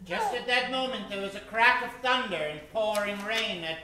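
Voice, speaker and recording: A man narrating an old time radio drama as host, theatrical and suspenseful with halting phrasing. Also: high voice, bright, forceful tone. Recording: very echoey hall, clear background noise.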